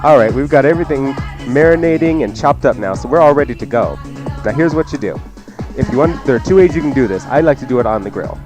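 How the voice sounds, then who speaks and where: A man's voice: mid-range voice, expressive, fast talker, over music. A man hosting a cooking show with casual, joking, teasing instructions.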